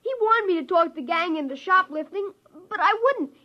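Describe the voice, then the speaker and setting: A woman's voice: very high voice, expressive, normal speed. A woman playing an earnest, distraught twelve-year-old boy in an old radio drama. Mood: defensive pleading. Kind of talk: 1950s radio drama acting. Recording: dull old radio recording.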